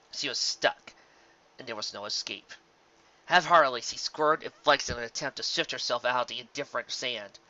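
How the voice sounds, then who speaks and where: An audiobook narrator with a bright voice and animated intonation. The scripted story is told in an expressive, dramatic way. The recording sounds slightly dull. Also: mid-range voice.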